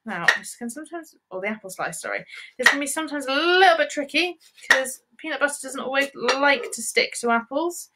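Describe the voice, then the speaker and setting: A woman co-hosting a children's cooking demo, calmly explaining each step. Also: sits high, brisk, very noisy. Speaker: children's show co-host.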